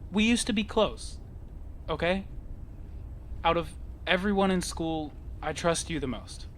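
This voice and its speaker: A man's voice: fairly high pitch, lively intonation, a little slow, slight background noise. A young man playing an earnest, wounded teenage outcast boy in an audio drama. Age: young adult.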